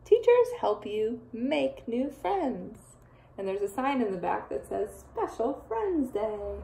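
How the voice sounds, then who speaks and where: Young woman, children's read-aloud, teacher, slow pace, soft-edged mellow tone, expressive, playful. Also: on the high side, vocal fry, a little hiss or hum, poor audio. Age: young adult.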